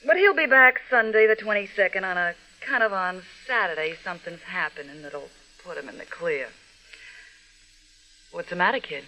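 A woman's voice: on the low side, animated, normal speed, bright, forceful tone, low-quality recording. An actress playing a slangy, good-hearted gangster's girlfriend with an American accent in an old-time radio drama. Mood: amused, evasive, then coaxing. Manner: slangy, tough-talking, chummy. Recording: vintage radio broadcast sound.

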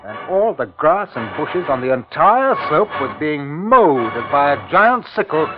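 An actor playing an uneasy, awestruck district commissioner in a measured, grave 1950s radio drama style. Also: male voice, on the high side, sing-song. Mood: grave, awed. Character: uneasy, awestruck male colonial district commissioner recounting his ordeal. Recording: tinny, band-limited 1950s radio recording, music behind.

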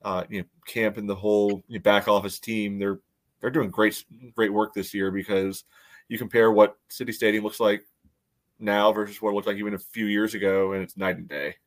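A man co-hosting a podcast, giving casual sports analysis in a halting way full of verbal filler. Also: lowish voice, little pitch movement. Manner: halting, peppered with "you know". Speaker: podcast co-host.

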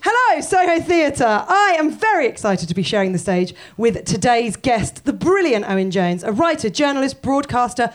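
A woman hosting a live podcast on a theatre stage, joking and swearing with the audience. She is croaky from a cold. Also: a little high. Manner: self-deprecating, jokey, sweary. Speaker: podcast host.

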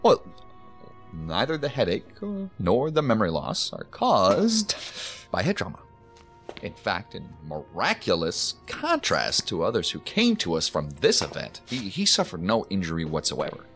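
A man's voice: deep voice, wildly swooping pitch, moderate pace, a bit bright, soft background music, full character voice. Swooping, flustered male doctor. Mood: puzzled, animated. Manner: hesitant, stammering, eager to please. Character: flustered, talkative, chirpy male hospital doctor. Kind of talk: audio drama acting.

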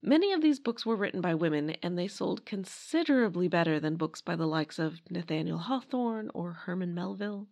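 A woman's voice: lowish voice, expressive, fairly quick, few pauses, a little mellow. A woman narrating a scripted history talk in a conversational way, with wry asides. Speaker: podcast host and narrator.

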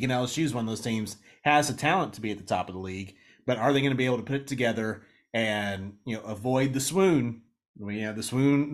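A man co-hosting a sports podcast, talking in a loose, rambling way with many restarts. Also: mid-range voice. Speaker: podcast co-host.

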